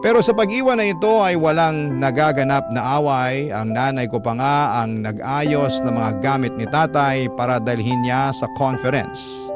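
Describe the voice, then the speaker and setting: A man's voice: mid-range voice, normal speed, few pauses. A man reading a dramatic first-person story as a radio narrator, in a sorrowful, confessional manner. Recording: music bed under narration.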